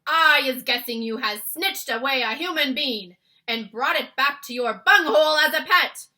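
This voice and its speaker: A woman voicing a huge, gruesome male giant from a children's storybook, a loud bully who booms out garbled insults. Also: moderate pace. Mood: suspicious, menacing. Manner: booming, shouting insults in garbled ungrammatical English.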